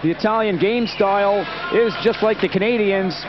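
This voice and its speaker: A man from the United States doing brisk, analytical color commentary on ice hockey replays in an arena commentary booth. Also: high voice. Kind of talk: sports commentary. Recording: dull broadcast audio with music behind.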